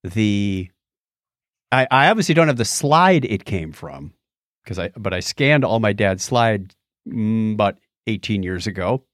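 Animated middle-aged man on a podcast. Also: lowish voice, unhurried, dry recording, clean studio sound. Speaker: podcast co-host. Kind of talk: casual chat, personal anecdotes.

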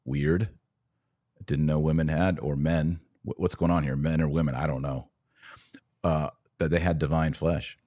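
Very deep-voiced American man, sardonic podcaster. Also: steady tone, moderate pace, warm tone. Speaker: podcast host. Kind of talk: conversational religious commentary.

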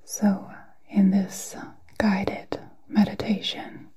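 A woman whispering a guided meditation in a soft, breathy, soothing way, with long pauses, as an ASMR host. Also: mid-range voice, monotone. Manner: slow, soothing, halting delivery with long pauses.

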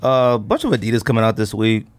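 A man's voice: on the low side, expressive, fast talker. An American man with a cold, hosting a casual podcast chat in informal, slangy speech. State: under the weather with a cold. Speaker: podcast host.

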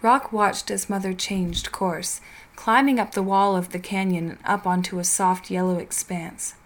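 A woman reading a scripted audio story in a measured storytelling manner, softening on lines of dialogue. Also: mid-range voice, steady tone. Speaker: fiction narrator. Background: music under the voice.

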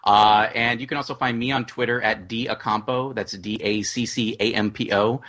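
A man's voice: lowish voice, steady tone, normal speed, a bit bright. A man speaking casually as a guest writer-producer in a panel discussion.

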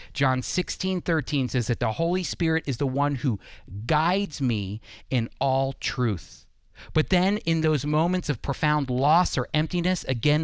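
A man's voice: fairly high pitch, clear, resonant tone, no echo, studio quality. A man preaching a scripted Bible teaching, speaking earnestly and instructively straight to the listener.

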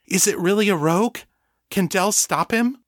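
Man voicing a high, urgent, desperate woman. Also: normal speed, no room sound, clean studio sound, natural voice. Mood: alarmed, insistent. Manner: urgent, pleading. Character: distraught woman telepath with military past, urgent, desperate. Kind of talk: audiobook character acting.

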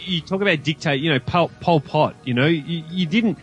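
A man's voice: on the high side, moderate pace, lo-fi sound, faint music behind. A man as a podcast guest in casual film discussion, rambling and stammering as he searches for words. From Australia.

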